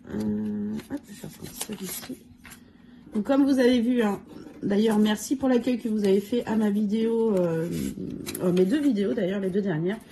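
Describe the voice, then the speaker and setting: A woman with a cold and a hoarse voice, casually going through her budget challenges and counting money aloud with lots of fillers. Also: medium pitch, normal speed, warm tone, a little hiss or hum. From France. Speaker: budget vlogger. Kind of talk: casual budget review talk.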